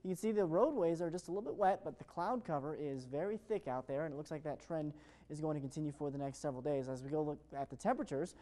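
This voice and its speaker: A man's voice: on the high side, quick pace, dark tone. An American man presenting an ad-libbed television weather forecast in an upbeat, chatty way.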